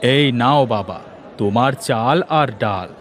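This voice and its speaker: A man's voice: medium pitch, slow pace, a faint crowd, slight background noise, lightly acted. A man voicing a friendly, slightly muddled older village shopkeeper in a cartoon.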